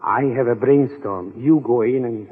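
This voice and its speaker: An actor playing a wry, nervous, reluctant accomplice in an old-time radio adventure. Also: male voice, medium pitch, normal speed, slightly rough, close to an everyday voice. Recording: muffled reverberant old radio recording.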